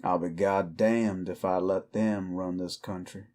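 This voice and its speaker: Man playing a slow-drawling, low-voiced cowboy outlaw. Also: fairly even intonation, darkish tone. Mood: bitter, defiant. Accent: American Southern or Western drawl. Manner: drawling, folksy, brooding. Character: male cowboy outlaw werewolf, weary, defiant, protective. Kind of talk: slow dramatic roleplay monologue to the listener.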